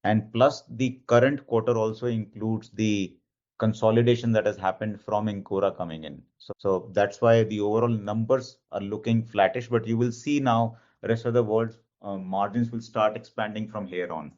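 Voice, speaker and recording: A man speaking as a finance executive, explaining figures to analysts in a measured, matter-of-fact way with halting phrasing. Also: lowish voice, fairly even intonation, darkish tone. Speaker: company finance executive on an earnings call. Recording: dull, band-limited conference call audio.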